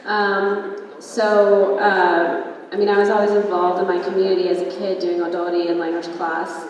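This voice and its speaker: A woman in her twenties from Canada, speaking as a panelist in a thoughtful, measured way with frequent ums. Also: fairly low pitch, little pitch movement. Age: 20s. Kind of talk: casual panel talk. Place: large conference hall. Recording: echoey hall from far off.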